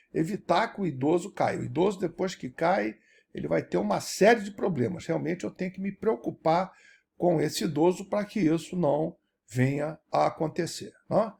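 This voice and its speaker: Older male lecturer, 70s, gravelly and husky, animated delivery with a wide, swooping pitch. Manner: animated teacher, keeps asking "né?" to check understanding. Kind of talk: recorded university-style lecture.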